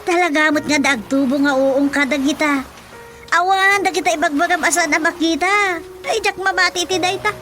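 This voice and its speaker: A woman's voice: very high voice, normal speed, fairly bright tone, slight background noise. An actress playing a sensible, amused village mother in an Ilocano radio drama, dismissive and matter-of-fact, with an Ilocano accent. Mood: amused disbelief.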